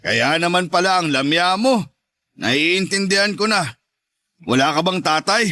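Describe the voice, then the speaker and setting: A man's voice: sits high, expressive, unhurried, pushed and bright, full character voice. A man playing a mocking, domineering macho ex-husband in a radio drama, brash and taunting. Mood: contemptuous. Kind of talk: Tagalog radio drama acting.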